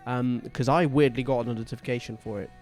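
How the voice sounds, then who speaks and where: A man with a mid-range voice hosts a casual band podcast. He talks in a chatty way and is quick with jokes and teasing. Faint music plays behind him. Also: mid-range voice, fairly even intonation, a little mellow. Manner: chatty, joking, quick to tease. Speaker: podcast host and band member. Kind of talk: casual chat.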